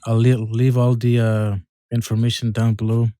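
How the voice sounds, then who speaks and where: A man's voice: mid-range voice, flat delivery, moderate pace, mellow, no echo, clean studio sound. A man hosting a casual podcast, loose and profane, repeating himself as he talks, with a Canadian accent. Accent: Canadian English. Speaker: podcast host. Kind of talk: casual chat.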